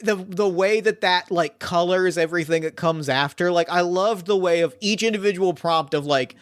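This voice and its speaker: A man with a high, smooth voice, recorded dry and close on a podcast mic. He talks with eager enthusiasm and rambles, repeating words as he goes. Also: normal speed, no room sound. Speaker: game master and podcast host. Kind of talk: casual post-game chat.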